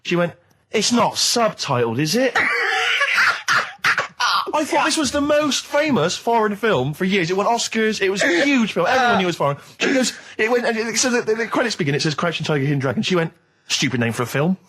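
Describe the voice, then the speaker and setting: Animated, fast-talking Englishman with a husky voice. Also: high-pitched. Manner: exasperated ranting storyteller, mimics people he describes. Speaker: radio show co-host. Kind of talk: casual comic anecdote telling.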